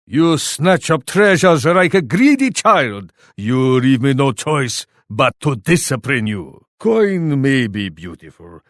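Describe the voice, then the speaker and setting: An actor playing a proud, menacing shogun warlord in a video game, speaking in a haughty, formal, theatrical way. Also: male voice, fairly high pitch, no room sound, studio quality. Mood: menacing, scornful. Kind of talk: video game character taunts.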